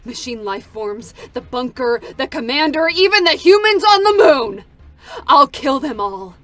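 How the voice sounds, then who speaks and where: A vengeful female android deserter from a game, grim and defiant. Her voice sits on the high side and is charged with bitterness. Music plays behind her. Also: very animated, measured pace, a bit bright, no echo, full character voice. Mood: furious, vengeful. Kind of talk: dramatic stage reading of a game character.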